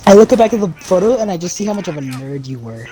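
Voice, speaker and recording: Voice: mid-range voice, sing-song, quick pace, over a noisy crowd. A teenager teasing a friend during a gaming session, laughing often and mimicking them. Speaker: friend and co-host. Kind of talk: casual teasing chat.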